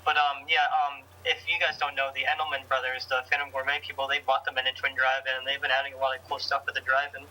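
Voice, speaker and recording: A man's voice: medium pitch, flat delivery, fast, few pauses, sharp tone, poor audio. An American man co-hosting a casual podcast in an agreeable, conversational way. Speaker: podcast co-host.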